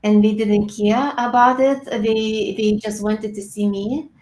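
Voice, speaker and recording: A woman from Lithuania with a Lithuanian accent, speaking earnestly over a video call as an interview guest, with frequent pauses and fillers. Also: fairly high pitch, flat delivery, darkish tone, echoey room. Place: home room with bookshelves.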